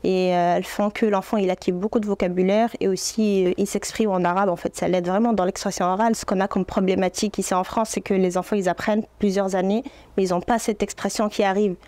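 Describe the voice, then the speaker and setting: A woman in her thirties from Morocco, an Arabic speaker, answering interview questions as an Arabic teacher in an earnest, gentle, measured way. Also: medium pitch, steady tone, no room sound.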